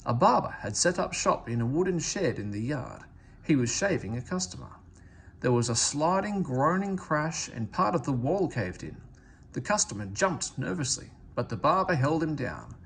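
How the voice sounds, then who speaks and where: A man reading a children's story aloud as expressive scripted narration. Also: on the high side, moderate pace, creaky, a little hiss or hum. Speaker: storybook reader.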